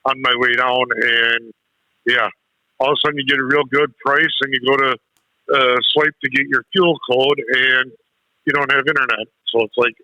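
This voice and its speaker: A man calling in to a radio show, talking casually in halting phrases with pauses. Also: medium pitch, fairly even intonation, sharp tone, no echo, low-quality recording. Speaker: radio show caller, truck driver. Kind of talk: casual phone-in chat.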